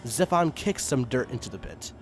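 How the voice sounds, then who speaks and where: A man's voice: medium pitch, very animated, normal speed. A man reading dramatic fiction aloud as a scripted narrator. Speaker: narrator and story reader. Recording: background music under the reading. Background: music.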